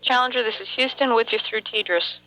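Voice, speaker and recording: A woman's voice: fairly high pitch, fast talker, sharp tone, low-quality recording. A woman speaking in brief, businesslike technical exchanges over two-way radio as a mission control communicator.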